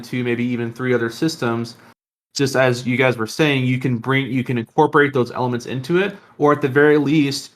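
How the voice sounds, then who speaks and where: A man with a medium-pitched voice co-hosts a casual podcast. He thinks out loud earnestly, feeling his way through each sentence in a halting, reflective manner. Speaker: podcast co-host.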